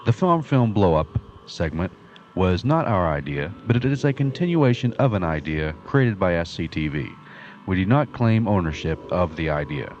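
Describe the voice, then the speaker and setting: A man's voice: deep voice, very animated, moderate pace, darkish tone. A man announcing a scripted outro and disclaimer for an audio comedy show. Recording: dull, slightly noisy lo-fi audio.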